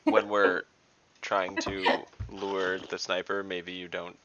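A man's voice: low-pitched, little pitch movement, slow pace, crowd noise. A man playing a tabletop game on a video call, explaining things casually and matter-of-factly. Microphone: computer microphone on a video call. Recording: dull, compressed online call audio.

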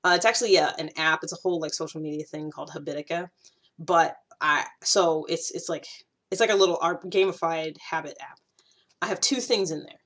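American woman, thirties, slightly low pitch, casual vlog talk, conversational, emphatic repetition. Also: fairly even intonation, fairly quick, a bit bright. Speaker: YouTube host sharing self-growth advice. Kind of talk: casual direct-to-camera talk.

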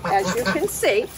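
A middle-aged woman hosting a storytime for young children, explaining things simply and haltingly, recorded outdoors by a camera a little way off. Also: mid-range voice. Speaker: children's farm storytime host. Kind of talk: unscripted show-and-tell for young children. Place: outdoors in a backyard farm pen. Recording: noisy outdoor recording with clicks.

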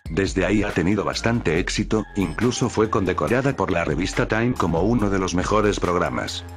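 Low-voiced man narrating over music. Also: expressive, moderate pace. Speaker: narrator of a cartoon top-list video. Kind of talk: scripted narration.

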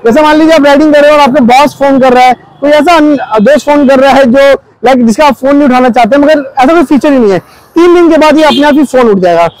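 Man, thirties, very high voice, rapid delivery, explanatory vlog review, English tech terms mixed in. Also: a little mellow, low-quality recording. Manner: explanatory, mixes in English technical words. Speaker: motorcycle gear vlogger. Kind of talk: product review talk to camera. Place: outdoors on a busy market street.

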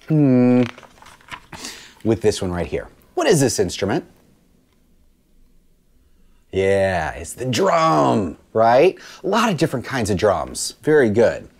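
Brief: deep-voiced male music teacher, very expressive, sing-song read-aloud for kids. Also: husky. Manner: animated, sing-song imitations of instrument sounds. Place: small studio room with bookshelves. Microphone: lapel mic.

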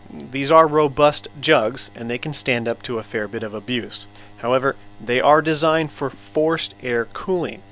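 A man narrating a scripted product review as a vlogger, in a measured, matter-of-fact way. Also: medium pitch, a bit bright, a little hiss or hum. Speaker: vlogger, motorized bike reviewer.

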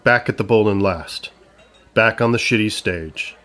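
A man's voice: on the low side, a little slow, fairly bright tone. A man reading scripted narration for a comedy story, as its narrator.

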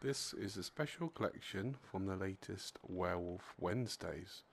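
A man's voice: low-pitched, normal speed, vocal fry, a little hiss or hum. A man from England with an English accent, giving a casual, self-deprecating intro and chatting with other players. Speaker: video maker and player.

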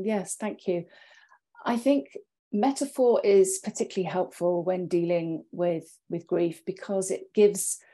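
A middle-aged woman speaks as an expert panellist on a video call from her study. Her voice is soft-edged and mellow, a touch low, and she explains ideas in deliberate, measured phrases. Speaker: panellist and therapist. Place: home study, indoors. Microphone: earphone headset on video call.

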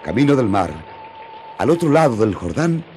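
A man's voice: medium pitch, sing-song, normal speed, dark tone, a little hiss or hum. A man narrating a radio drama in a solemn, theatrical storytelling manner. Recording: music under the narration.

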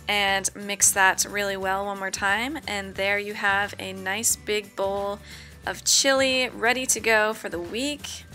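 A young woman with a bright, forceful tone chats easily to her viewers, explaining each cooking step as she goes. She sounds close to a camera in a home kitchen, with faint music underneath. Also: medium pitch. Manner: chatty, explaining steps to viewers. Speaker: vlogger. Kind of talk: casual cooking vlog.

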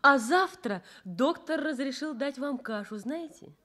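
Lively, caring young nurse. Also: female voice, high voice, unhurried. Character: caring, modest young nurse, almost twenty. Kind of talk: Soviet radio drama acting.

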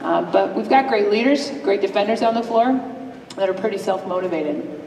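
A woman in her forties, a head coach, answering press questions in a measured, thoughtful way with frequent pauses to think. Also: mid-range voice, a little mellow. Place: large press room with a table. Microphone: table mic from a distance. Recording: echoey hall, distant mic, room noise.

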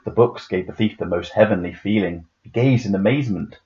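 A man reading a children's rhyming picture book aloud in a dramatic, playful, expressive way. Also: on the low side, brisk, muffled recording. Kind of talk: expressive rhyming storybook narration.

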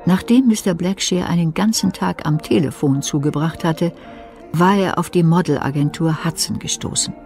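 A woman playing the detached, composed narrator of a German radio crime play, in measured scripted narration. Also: on the low side, creaky, darkish tone, soft background music.

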